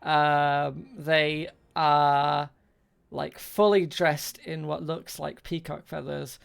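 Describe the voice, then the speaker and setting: A game master narrates a tabletop role-playing scene off the cuff. The voice is middle in pitch and creaky, moving slowly and haltingly while searching for words, with soft music underneath. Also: little pitch movement.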